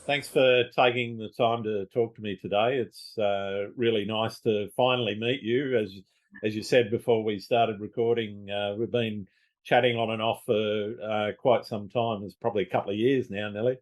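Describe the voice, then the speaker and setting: Man, Australian accent, podcast host, fairly low pitch, measured, some fillers. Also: steady tone. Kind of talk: scripted intro then interview questions.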